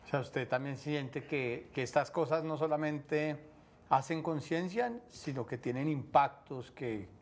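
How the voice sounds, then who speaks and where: A man in his thirties with a Colombian accent, hosting a studio interview and asking measured, probing questions. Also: on the high side. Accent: Colombian Spanish. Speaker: TV host interviewer. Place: TV studio set. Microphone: lapel mic.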